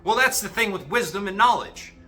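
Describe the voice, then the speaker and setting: A young man giving a casual, rambling motivational talk to his viewers, cursing freely and animated. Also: high voice, a little fast. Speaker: motivational vlogger. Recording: echoey room, faint music.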